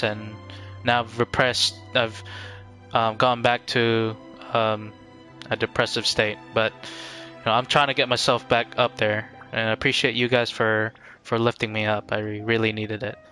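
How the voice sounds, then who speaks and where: Male streamer, mid-pitched and bright, reflective casual chat with halting phrasing over faint game music. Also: little pitch movement.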